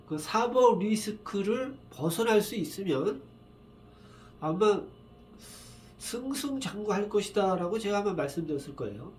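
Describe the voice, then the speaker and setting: A man with a slightly high voice, worn and rough from a cold, talks casually on his own. He sounds tired and unhurried, wandering between thoughts and filling pauses as he explains a fortune reading. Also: a little mellow. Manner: rambling, halting, many fillers. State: tired, just woken up. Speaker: saju fortune reader. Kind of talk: casual solo video monologue.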